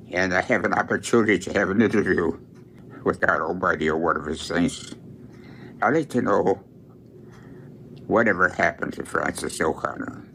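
Male priest aged over 100, low bright voice, halting plain recollection, slightly noisy interview. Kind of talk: reminiscing in an interview. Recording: noisy on-location interview recording.

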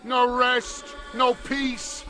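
A man's voice: very high-pitched, little pitch movement, very slow, over music, heavily acted. An actor in a radio play voicing a tormented male ghost in chains, solemn, reproachful and declamatory. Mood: anguished, remorseful. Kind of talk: radio theatre acting.

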